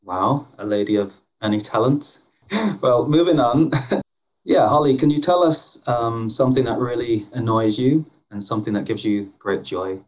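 A man's voice: medium pitch, moderate pace, rough recording. A man who grew up in Bangladesh, hosting a podcast conversation in a friendly, self-deprecating way with frequent restarts. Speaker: podcast host.

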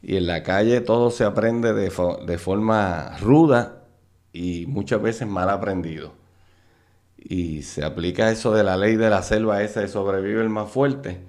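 A middle-aged Puerto Rican man, a guest on a talk show, telling stories from his youth in a relaxed way and laughing often as he remembers. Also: lowish voice, unhurried. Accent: Puerto Rican Spanish. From Puerto Rico. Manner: laughs often while recalling old times. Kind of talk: casual storytelling interview.

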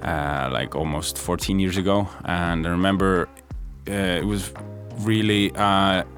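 A man's voice: low voice, steady tone, a little slow, fairly bright tone. A man from Estonia, a music producer, answering interview questions in a thoughtful, hesitant way with many fillers. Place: podcast studio.

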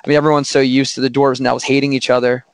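Guy with a bit of a hoarse voice, gravelly, rambling casual podcast chat, dry close mic. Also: medium pitch, level tone, rapid-fire, dry recording. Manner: rambling, many restarts and fillers. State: slightly hoarse.

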